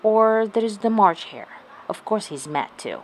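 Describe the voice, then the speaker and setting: A woman's voice: medium pitch, sing-song, a little slow, voice pushed up. A teasing male cat from a children's storybook, acted out by a woman reader. He is offhand and playfully knowing, taking his time with every line. Faint noise sits behind the voice. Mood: sly, casual.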